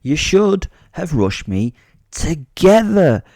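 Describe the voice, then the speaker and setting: A man's voice: on the low side, expressive, normal speed, darkish tone, no echo, studio quality. A man voicing a sadistic vigilante who gloats and monologues in a pompous, mocking, menacing way, as in an audio story. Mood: mocking, gloating.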